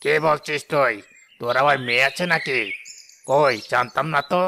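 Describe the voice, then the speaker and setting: A man's voice: mid-range voice, steady tone, normal speed, gravelly, background noise, close to an everyday voice. A man playing a bossy, miserly, rich older village chief in a cartoon, speaking in a commanding and condescending way. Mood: domineering. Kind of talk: Bengali cartoon voice acting.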